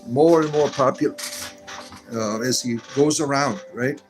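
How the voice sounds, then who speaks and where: A man at an ordinary mid pitch hosts a casual live stream, reading viewer comments aloud and answering each one at a wandering, conversational pace. Soft music plays faintly beneath his voice. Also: measured pace, poor audio. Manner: reads comments aloud and answers them in a meandering, chatty way.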